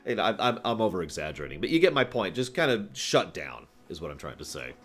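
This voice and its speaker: A man hosting a livestream, answering viewer questions in casual, off-the-cuff commentary with an animated, playful way of talking. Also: low voice, fast, faint music behind. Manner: animated, chuckles through his points, acts out examples.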